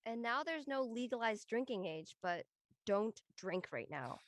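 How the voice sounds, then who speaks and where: A woman's voice: on the high side, very animated, measured pace, no echo. A woman playing an earnest, advising character in a scripted family drama reading.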